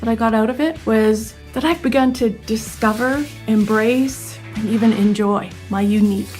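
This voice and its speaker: A woman in her sixties, a singing student giving a calm, reflective testimonial. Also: on the high side, moderate pace, soft background music. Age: 60s.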